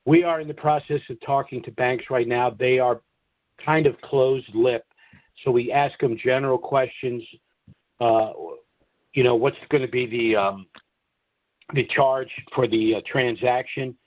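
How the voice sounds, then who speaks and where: A man's voice: mid-range voice, steady tone, measured pace, dry recording. A man with a mid-range voice hosts a question-and-answer conference call. He explains things informally but emphatically, often restating his instructions. The audio is lo-fi and muffled, with very little room sound. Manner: instructive, emphatic, repeats points. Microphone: conference call line.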